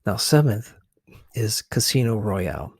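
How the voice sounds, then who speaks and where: A man hosting a conversational ASMR commentary in a hushed, husky voice, halting as he searches for words. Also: fairly low pitch, expressive, slight background noise. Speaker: video host.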